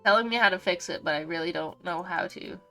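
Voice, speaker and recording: A woman's voice: fairly low pitch, fast, pushed and bright. A woman game streamer giving casual, sweary and effusive livestream commentary on a visual novel. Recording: music behind the voice.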